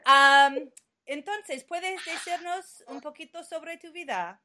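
A woman's voice: very high-pitched, slow, a crowd in the background. A woman from New Mexico interviewing a guest, hesitant and encouraging. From New Mexico, USA. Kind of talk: casual conversational interview.

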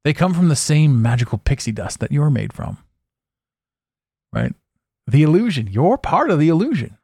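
A man hosting a solo podcast, talking intensely and repeating words as his voice swings between loud and quiet. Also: on the high side, big pitch swings, normal speed, vocal fry, breathy edge, no room sound, studio quality. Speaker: podcast host.

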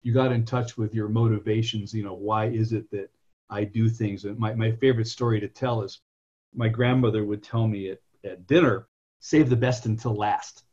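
A man in his fifties from California, a software entrepreneur telling long, self-correcting stories as a guest in an interview. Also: lowish voice, steady tone, moderate pace, slightly rough, highs cut off. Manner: rambling, self-correcting, anecdotal. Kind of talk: conversational interview storytelling.

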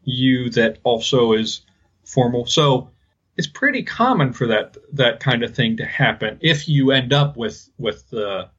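A man co-hosting a podcast, talking casually and haltingly as he explains things. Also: medium pitch, expressive, a bit bright, husky, lo-fi sound. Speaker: podcast co-host. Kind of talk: casual linguistics discussion.

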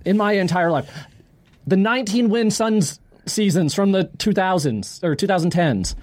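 A man's voice: high-pitched, lively intonation, moderate pace, a little mellow. A man co-hosting a sports podcast in casual chat, talking emphatically and repeating phrases for dramatic effect.

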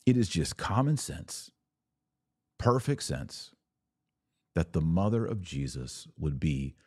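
A man's voice: low-pitched, dark tone, husky, no room sound, clean studio sound. A man hosting a religious podcast, speaking in emphatic, short declarative phrases as he argues a point of faith to his viewers. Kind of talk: live apologetics talk answering viewer comments.

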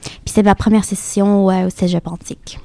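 A woman's voice: mid-range voice, little pitch movement, gravelly, warm tone, dry recording. Hesitant young woman with a Syrian-tinged accent. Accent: accent shaped by ten years in Syria. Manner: hesitant, many pauses and fillers. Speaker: student guest. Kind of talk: casual group discussion.

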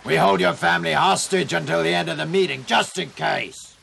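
Gravelly, stern cartoon robot warrior. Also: male voice, on the high side, a little fast, heavily acted. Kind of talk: dubbed cartoon acting. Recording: gravelly clip under loud battle noise.

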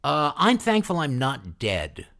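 Higher-pitched man, casually hosting a music show. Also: huge pitch swings, measured pace, vocal fry, pushed and bright. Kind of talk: casual chat introducing songs.

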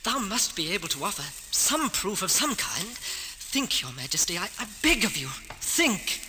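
A man's voice: sits high, sing-song, moderate pace, pushed and bright, heavily acted. An actor playing an earnest, guilt-ridden young pauper mistaken for king, in formal old-time radio drama style. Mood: urgent, pleading. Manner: formal, archaic, impassioned delivery. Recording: noisy old radio recording, long reverb.

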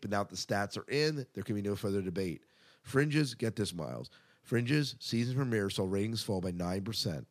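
A man co-hosting a podcast, giving casual entertainment news commentary in a conversational way with emphatic asides. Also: lowish voice. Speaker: podcast co-host.

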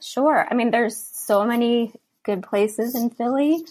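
A woman's voice: on the high side, slow, darkish tone, dry recording. An American woman in her thirties chatting casually as a guest on a medical podcast. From Philadelphia, United States. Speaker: cardiology fellow, podcast guest.

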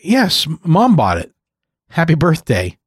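A man voicing a gentle, worried grandson talking with his ailing grandfather. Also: on the high side, huge pitch swings, a little slow, husky, no echo, studio quality, pitched above the performer's own voice, exaggerated voice. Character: worried grandson at a hospital bed.

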